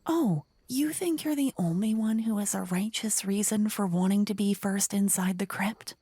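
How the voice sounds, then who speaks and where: A woman playing an indignant, blunt young necromancer rival in a fantasy ASMR roleplay, hushed and insistent. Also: mid-range voice, moderate pace, slightly rough, no echo, lightly acted. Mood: insistent, exasperated. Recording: close dry ASMR microphone.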